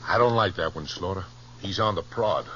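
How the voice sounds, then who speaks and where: An actor in a 1950s radio western playing a wary, demanding American cattle owner who frets and complains. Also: male voice, deep voice, normal speed, a bit bright, a little hiss or hum. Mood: uneasy. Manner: fretful, demanding. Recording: muffled old radio recording.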